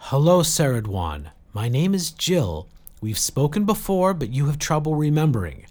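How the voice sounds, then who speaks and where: Frank, urgent woman, raised pitch, man acting. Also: big pitch swings, measured pace. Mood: patient. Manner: direct, urgent, short clipped sentences. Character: weary, frank, determined woman leading a desperate group.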